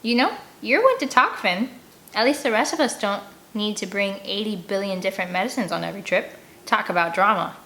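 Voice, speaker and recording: A woman's voice: mid-range voice, lively intonation, a little fast, a bit bright, slight background noise. A woman voicing a snarky, defensive kid scout talking back to friends in an audiobook, pointed and casual.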